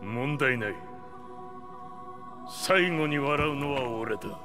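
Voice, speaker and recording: An actor playing a cold, vengeful fighter in a video game, curt and contemptuous in Japanese. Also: male voice, medium pitch, expressive, a little slow, over music, natural voice. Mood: coldly confident. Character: cold, arrogant, vengeful male fighter in his prime.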